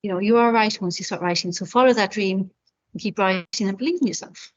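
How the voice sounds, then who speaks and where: A woman's voice: mid-range voice, quick pace, highs cut off. A middle-aged woman, an author, giving encouraging writing advice on a video call, with many you-knows. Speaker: author guest. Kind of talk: friendly advice in an interview.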